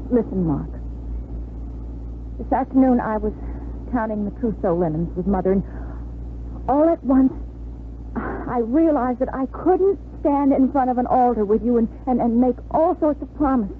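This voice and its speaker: A woman's voice: fairly high pitch, normal speed, long pauses, natural voice. An American woman playing a troubled young bride-to-be in a hesitant, earnest 1950s radio drama style. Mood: anguished. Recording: muffled vintage radio recording, faint hiss.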